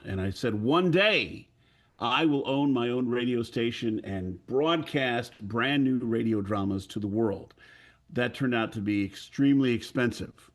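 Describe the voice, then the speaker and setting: Male audio producer, somewhat low pitch, deliberate storytelling delivery. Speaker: audio drama producer, panelist. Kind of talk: self-introduction with personal storytelling.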